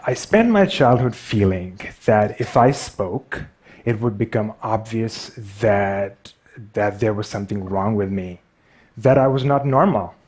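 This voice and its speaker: A man's voice: lowish voice, animated, unhurried, a little mellow. Self-deprecating Pakistani-accented man giving a talk. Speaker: public speaker. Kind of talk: personal storytelling talk to an audience.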